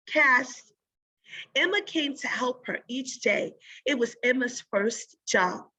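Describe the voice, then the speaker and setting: A woman teacher giving a read-aloud lesson in an instructive way, pausing to think aloud and pose guiding questions. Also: sits high, bright, forceful tone, breathy edge, poor audio.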